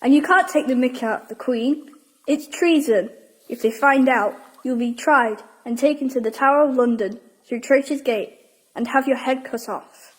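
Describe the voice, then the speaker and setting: A woman's voice: sits high, normal speed, poor audio. A woman playing a naughty, curious young girl in a Victorian audio drama, talking in short playful retorts. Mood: mock spooky.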